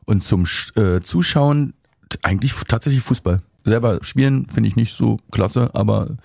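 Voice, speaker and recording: A man chatting casually as a presenter, telling stories with halting enthusiasm. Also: on the low side, creaky, a little mellow, slightly rough, dry recording, studio quality. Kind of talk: casual chat.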